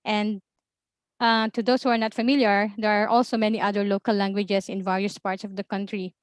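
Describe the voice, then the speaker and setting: A Filipino woman with a Filipino accent, presenting in a webinar as an observatory information officer in a measured way, with many ums and uhs. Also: medium pitch, little pitch movement, clear, resonant tone, dry recording, studio quality. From Philippines.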